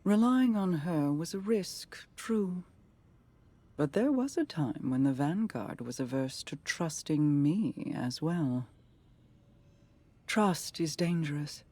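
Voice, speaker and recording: A woman playing a regal, composed queen in a video game, speaking in a measured, formal, guarded and grave manner. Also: deep voice, mellow, breathy edge, heavily acted. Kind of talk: scripted video game monologue.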